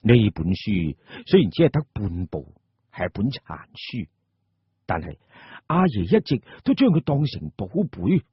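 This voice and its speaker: A man narrating an audio drama in Cantonese-accented speech, theatrical and suspenseful, with deliberate pauses. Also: on the low side, sing-song, breathy edge, no echo. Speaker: storyteller, narrator. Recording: muffled, dull audio.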